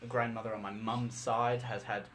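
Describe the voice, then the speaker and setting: A teenage boy still in school, chatting casually as a podcast co-host, agreeable and halting with many fillers. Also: lowish voice, flat delivery, a bit bright, slight background noise. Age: teens. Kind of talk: casual chat.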